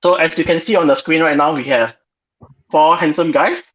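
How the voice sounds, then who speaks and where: A man's voice: fairly high pitch, steady tone, normal speed, fairly bright tone. A man moderating an informal online panel discussion as host, on a muffled video call.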